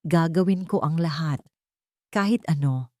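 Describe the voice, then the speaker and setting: A woman's voice: low-pitched, measured pace, a little mellow, dry recording, studio quality. A woman voicing a defeated, desperate billionaire father in an audio drama, in a broken, pained murmur.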